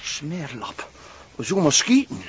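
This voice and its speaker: An actor playing a jumpy, angry police officer in a crime drama, with a Flemish accent and a curt, agitated delivery. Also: male voice, on the high side, wildly swooping pitch, takes it slowly, heavily acted. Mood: tense, agitated. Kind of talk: naturalistic TV crime drama acting. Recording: dull lo-fi sound, faint noise.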